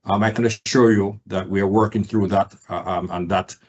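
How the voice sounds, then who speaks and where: A man with a low voice answers questions as a company executive on an earnings call. His phrasing is formal and corporate. He proceeds in a measured, hesitant way, picking his words. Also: fairly even intonation, a little mellow, dull audio, slight background noise.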